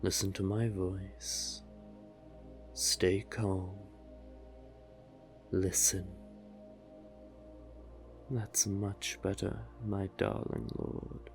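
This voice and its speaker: A seductive merman sea witch, played by a man, speaks in a low voice at a very slow, deliberate pace. He is doting and manipulative, coaxing and soothing the listener as in a hypnotic roleplay. Music plays softly behind him. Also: flat delivery, creaky, exaggerated voice.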